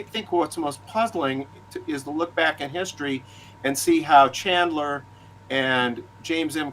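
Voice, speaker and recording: A man with a voice a little higher than most talks as a guest in a relaxed discussion. He moves haltingly through his points, doubling back on words as he gathers his thoughts. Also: rough recording. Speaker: author guest. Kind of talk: casual discussion of film and writing.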